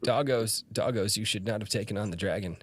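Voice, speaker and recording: A man hosting a casual livestream podcast, animated and rambling, quick to laugh. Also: lowish voice, breathy edge. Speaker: podcast host.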